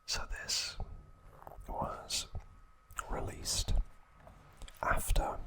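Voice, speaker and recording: A man hosting an ASMR video, speaking in a breathy, hushed whisper with deliberate, separated phrases, close to the microphone. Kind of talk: ASMR, whispered trivia and show-and-tell.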